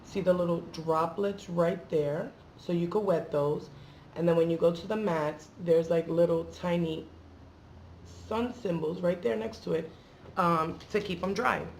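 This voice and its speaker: A woman's voice: lowish voice, steady tone, unhurried, gravelly, a little mellow, slight background noise. A woman hosting a beauty vlog in a casual, chatty, slangy way, wandering into playful asides. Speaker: beauty vlogger and channel host.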